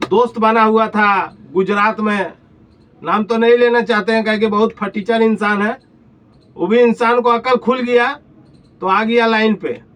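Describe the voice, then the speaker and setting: High-pitched man ranting, eastern Indian accent. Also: bright, forceful tone, distant mic, rough recording. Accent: eastern Indian Hindi. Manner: taunting, insulting, uses rhetorical questions. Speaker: vlogger. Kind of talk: confrontational call-out rant.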